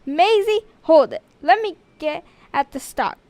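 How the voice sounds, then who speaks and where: A woman plays an exasperated female schoolteacher from a children's storybook. Her voice is high and emphatic as she scolds with indignation. The sound is dry. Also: animated, measured pace, darkish tone, dry recording, full character voice. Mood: bossy.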